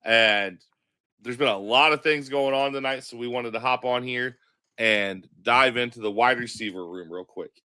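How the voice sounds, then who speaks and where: A man hosting a casual sports podcast, sounding stuffy from a cold, chatting in a relaxed way. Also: medium pitch, moderate pace. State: stuffy, congested. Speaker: podcast host.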